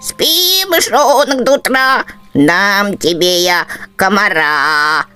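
A woman voicing a solemn storybook toad nanny with pompous croaking. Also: medium pitch, lively intonation, slow, pushed and bright, soft background music. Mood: solemn, soothing.

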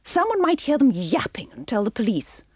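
A woman reading a storybook aloud and voicing a cruel, fur-obsessed rich woman who gives orders in a shrill, imperious voice. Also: big pitch swings, moderate pace, darkish tone, breathy edge, no echo, studio quality. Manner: imperious, shrieking, gleefully cruel.

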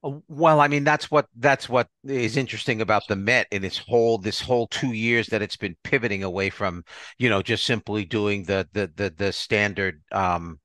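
A man's voice: fairly low pitch, normal speed, fairly bright tone, dry recording. An older man hosting an arts podcast in a conversational interview style. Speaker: podcast host.

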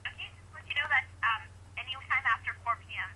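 A woman's voice: sits high, fast talker. A woman working as a customer service agent on a phone call, polite and a little hesitant. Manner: polite, hesitant, filler-heavy. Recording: noisy phone call over speakerphone.

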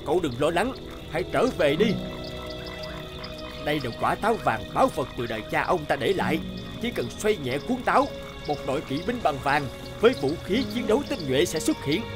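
A man's voice: on the high side, big pitch swings, moderate pace. A man voicing a huge bearded forest wildman in a cartoon, grave, loyal and earnest. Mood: grave, urging. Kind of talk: cartoon voice acting. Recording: music under the voice.

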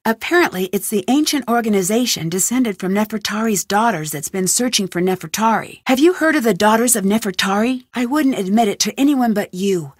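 A woman's voice: mid-range voice, animated, a little fast, few pauses, no echo, close to an everyday voice. An actress voicing a curious, wry young female amateur detective in a video game, with dry asides. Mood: uneasy. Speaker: game protagonist. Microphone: close studio mic.

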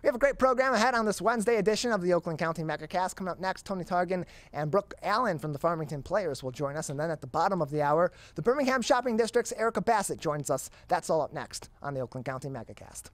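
A man's voice: high voice, animated, fast, few pauses, dry recording. A young man presenting as a talk show host in a broadcast style, close on a studio microphone. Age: young adult. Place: small studio with curtain backdrop. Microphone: close studio microphone on boom arm.

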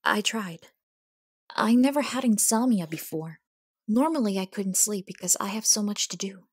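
A woman voicing a shy, reserved young princess cat in a cartoon dub, breathy and hesitant, easily flustered. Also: medium pitch, animated, normal speed, no echo, clean studio sound. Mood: reserved, tired. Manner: soft, hesitant, stammering. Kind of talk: cartoon comic dub acting.

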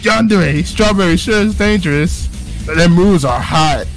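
A man's voice: high-pitched, unhurried, faint music behind. A man reading a visual novel aloud as a let's-play host, with crude jokes, swearing and exclamations. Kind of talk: casual gaming commentary with read-aloud narration.

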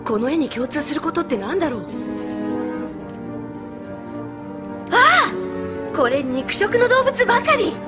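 A woman's voice: very high voice, big pitch swings, moderate pace, music in the background. A woman voicing a bold, cocky adventurer pony in a dubbed cartoon, with brash, tough talk. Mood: puzzling.

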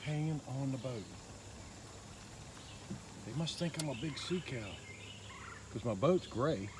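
A man filming from a small boat on a river outdoors, giving excited, quiet running commentary into his handheld phone. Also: medium pitch, unhurried. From Northeast Florida. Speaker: fishing vlogger. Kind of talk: casual narration while filming. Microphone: handheld phone camera. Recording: outdoor noise, insects behind.

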